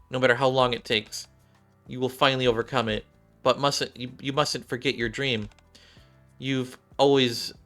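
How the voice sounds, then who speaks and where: A man voicing a shy, earnest young android gamer girl from a game story, halting and heartfelt. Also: medium pitch, bright, forceful tone, smooth, pitched above the performer's own voice, natural voice. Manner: halting, heartfelt, repeats and restarts.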